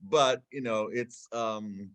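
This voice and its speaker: Low-voiced guy being the guest, wry and joking about himself. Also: takes it slowly, fairly bright tone. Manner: wry, self-deprecating humor. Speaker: guest speaker. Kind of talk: live online conversation.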